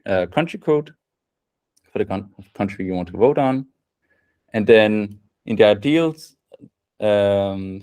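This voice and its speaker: A man's voice: on the low side, a little mellow. A young man presenting a technical workshop in a casual way, thinking aloud with many fillers and restarts. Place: event room.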